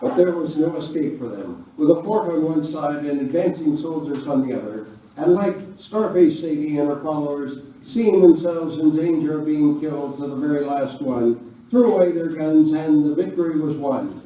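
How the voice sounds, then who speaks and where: A man's voice: a little high, moderate pace, dark tone, a little hiss or hum. A man narrating a scripted western radio play as storyteller. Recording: very echoey hall, distant mic.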